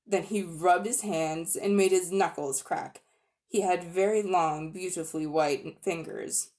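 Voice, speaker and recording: A woman giving an expressive, animated dramatic reading of a children's storybook. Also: fairly low pitch, unhurried. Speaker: reader.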